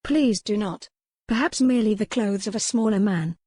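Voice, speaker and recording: A woman's voice: mid-range voice, moderate pace, dark tone, husky, no room sound, studio quality, natural voice. A woman voicing a defiant young lady captive in an audiobook romance, formal and pert with a challenging manner. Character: defiant, sharp-witted young lady captive with indomitable will.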